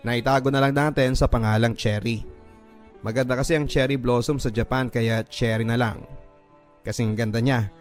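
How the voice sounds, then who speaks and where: A man's voice: mid-range voice, fairly even intonation, normal speed. A man with a Filipino accent reads a listener's story as an emotive, scripted first-person radio drama narration. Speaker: radio drama host reading a listener's letter. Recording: music bed behind the voice. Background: music.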